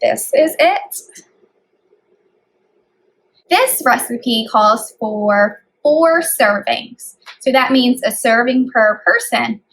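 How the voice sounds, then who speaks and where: A woman's voice: a little high, animated, drawn-out words, a bit bright, low-quality recording. A woman hosting storytime as a children's librarian, reading aloud and explaining things in simple phrasing for young children.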